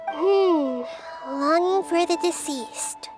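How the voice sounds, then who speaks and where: A woman voicing a small, wise, caring child-like deity in a video game, speaking in a thoughtful, measured, counseling way. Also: very high voice, music in the background, full character voice. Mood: gently advising.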